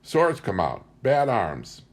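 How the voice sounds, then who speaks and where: A man voicing a frail, friendly, senile old woman in broken, halting English for a storybook reading. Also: on the low side, wildly swooping pitch, exaggerated voice. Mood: earnest, troubled.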